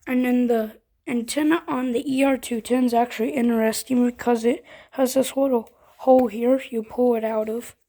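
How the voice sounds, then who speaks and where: A woman with a fairly high voice gives a casual, hands-on product demonstration. She explains each step informally, as a reviewer showing how things work. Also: fairly even intonation, moderate pace, dark tone.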